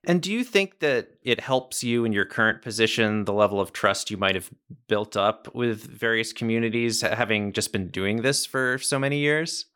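Bright-voiced male podcast host. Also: lowish voice. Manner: clear, measured questions. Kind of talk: interview questions and outro.

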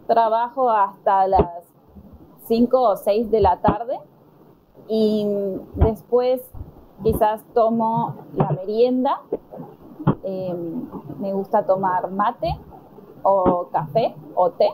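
An Argentine woman answering conversation questions slowly and deliberately, pausing with fillers. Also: on the high side, dark tone, a little hiss or hum, poor audio. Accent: Argentine Spanish. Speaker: interviewee. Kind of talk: slow, careful conversation answers.

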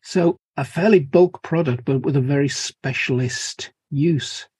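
A man in middle age hosts a conversation, asking his questions in a measured, curious way. His voice has a husky, slightly breathy grain. The sound is dry and close, with no room echo. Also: a little high, darkish tone. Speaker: podcast host. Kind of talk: interview conversation.

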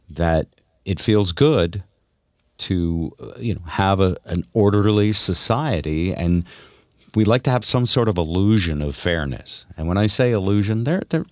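A middle-aged man with a low voice talks as a radio host in a dry, close recording. He muses and explains his opinions in a casual, unhurried conversational style. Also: no echo. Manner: explanatory, musing.

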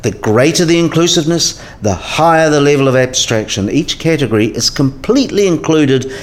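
A man's voice: on the high side, lively intonation, a little fast, lightly acted. A man playing a patient professor in a radio play, explaining things in a didactic, precise manner. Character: male professor patiently explaining category theory.

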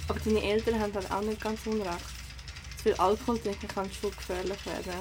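A young woman acting as a teenage girl in a radio play, mid-pitched. She is cautious yet up for adventure and talks in casual slang, with noise audible behind her. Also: steady tone, brisk, lightly acted. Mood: hesitant, thoughtful. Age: young adult. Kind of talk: amateur radio drama dialogue.

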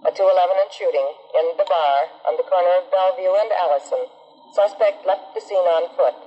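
American police dispatcher, husky, impersonal, formulaic radio calls, muffled, long reverb. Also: male voice, sits high, a little fast. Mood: urgent, impersonal. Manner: formulaic, repetitive, impersonal announcing.

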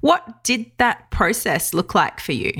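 A woman hosting a podcast, reading a measured introduction and asking questions. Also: mid-range voice, big pitch swings, vocal fry, a bit bright, slightly rough, no echo, studio quality. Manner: measured, reads a scripted introduction. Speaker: podcast host.

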